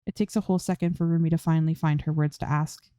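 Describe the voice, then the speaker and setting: Female narrator for story reading, low and soft-edged, dry close recording. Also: steady tone, fast talker, clean tone, no room sound. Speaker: fan fiction reader.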